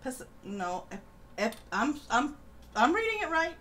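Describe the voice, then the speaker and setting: A woman's voice: high voice, very animated, unhurried, fairly bright tone. A woman co-hosting a casual political live stream, reading aloud and reacting in a mocking, sardonic way. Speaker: live-stream co-host reading an article and chat comments. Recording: faint background noise.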